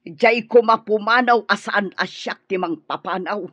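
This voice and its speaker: A woman's voice: medium pitch, measured pace, bright, forceful tone, no echo. A woman playing a scheming, disapproving middle-aged mother in an Ilocano radio drama, complaining and bossing as she plots. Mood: conspiratorial. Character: scheming, disapproving mother plotting against her son's wife.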